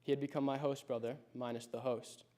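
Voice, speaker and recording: A man's voice: medium pitch, flat delivery, dark tone. Reflective teenage boy reading a speech. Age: late teens. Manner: reflective, measured storytelling. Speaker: high school senior giving a speech. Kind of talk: prepared personal speech.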